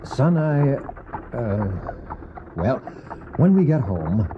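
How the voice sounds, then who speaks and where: An actor playing a gentle, weary widowed father in a radio drama, speaking tenderly and haltingly to his young son. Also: mid-range voice, very animated, background noise. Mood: tender, earnest. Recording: dull old cassette audio.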